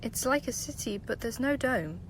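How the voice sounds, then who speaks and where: A woman's voice: fairly high pitch, lively intonation, moderate pace, slight background noise, natural voice, rough recording. A woman playing a bewildered, questioning young prisoner in an audio drama. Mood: anxious, bewildered.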